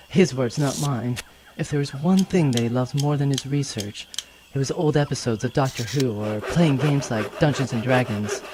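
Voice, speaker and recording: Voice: mid-range voice, darkish tone, a little hiss or hum, lightly acted. A performer playing an earnest, scholarly female archaeologist in a space audio drama, explaining things articulately. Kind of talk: hobby audio drama acting.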